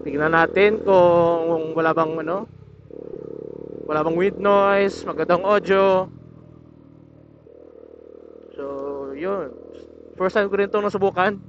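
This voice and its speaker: A young man vlogging while riding a motorcycle, explaining his setup in a casual, halting way with many restarts. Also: high voice, fairly even intonation, background noise. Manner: hesitant, restarts words, explains step by step. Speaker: motovlogger. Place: on a motorcycle outdoors. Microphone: motorcycle helmet intercom over Bluetooth. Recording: muffled helmet intercom audio.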